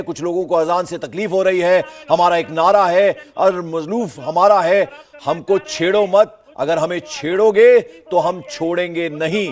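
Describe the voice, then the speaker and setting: A male journalist with an Indian accent comments on the news in a fairly high voice. He is emphatic, circling back to repeat his key phrases. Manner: emphatic, repetitive.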